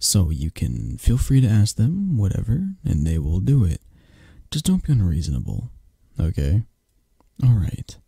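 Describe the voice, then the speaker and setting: A man acts out a controlling yet protective mafia boss boyfriend for an ASMR romance roleplay. His voice is low and husky, and he takes his time over each phrase. He coaxes and commands softly, close to the listener. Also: wildly swooping pitch, no room sound, full character voice. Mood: possessive, firm. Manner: unhurried, commanding, coaxing, close and soft.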